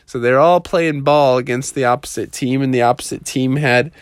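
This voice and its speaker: A man's voice: medium pitch, normal speed, clear, resonant tone, dry recording, studio quality. A man telling an improvised children's story in a casual, colloquial way.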